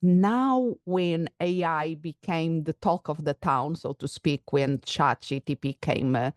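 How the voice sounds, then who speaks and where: A woman's voice: low voice, slow pace, no room sound, clean studio sound. A woman from Portugal with a Portuguese accent, a psychologist and coach explaining her views in a thoughtful conversational interview, with occasional restarts.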